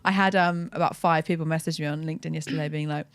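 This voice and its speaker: Lowish-voiced British woman hosting a chat. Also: quick pace, clean tone, dry recording. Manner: informal, sweary, animated and giggly. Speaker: podcast host. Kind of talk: casual, chatty interview.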